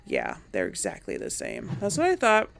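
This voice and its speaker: A woman's voice: very deep voice, wildly swooping pitch, normal speed, creaky. A woman giving casual, chatty gameplay commentary, thinking aloud to her audience as she plays. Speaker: let's player. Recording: voice over game music.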